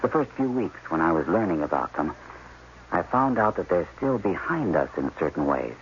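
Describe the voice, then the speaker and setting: An actor playing an embittered, fatalistic scientist held captive, speaking emphatically in a 1980s radio drama. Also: male voice, low voice, very animated, moderate pace. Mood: grim, hushed. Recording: dull, noisy old radio broadcast.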